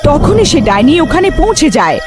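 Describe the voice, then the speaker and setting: A woman tells a scripted tale as its narrator, her voice high and her melody lively. The delivery is dramatic, and loud music plays behind her. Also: fairly quick. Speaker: story narrator.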